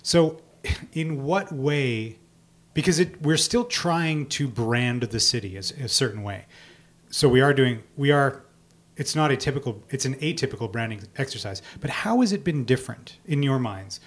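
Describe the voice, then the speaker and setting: A man's voice: mid-range voice, animated, normal speed. A man asking questions in a conversational interview.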